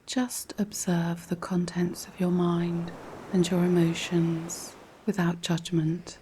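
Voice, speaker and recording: Slow, soft woman guiding relaxation. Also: fairly low pitch, a little hiss or hum. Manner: calm, measured, instructional. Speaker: guided meditation narrator. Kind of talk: scripted guided relaxation narration.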